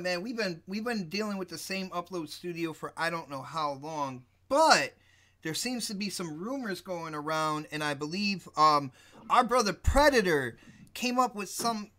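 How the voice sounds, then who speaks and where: A man hosting a casual gaming podcast, teasing his co-hosts and laughing loudly. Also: sits high. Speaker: podcast host.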